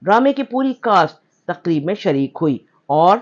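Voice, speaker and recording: A man's voice: sits high, sing-song. A man reads a scripted news report in a formal announcing style. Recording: dull lo-fi voice-over.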